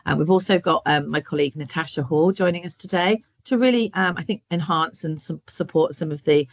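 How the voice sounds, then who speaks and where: A man's voice: on the high side, a little fast, no room sound. A man hosting a webinar over a video call, talking hesitantly with many restarts. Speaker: webinar host. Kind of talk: introducing a webinar.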